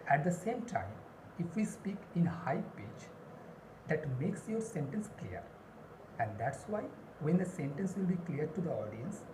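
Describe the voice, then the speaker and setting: A woman gives a spoken English lesson in a low, very animated voice. She speaks deliberately and repeats key phrases to stress them. The recording is roomy and echoey. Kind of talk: instructional lesson with numbered points and examples. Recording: roomy echo, clear background noise.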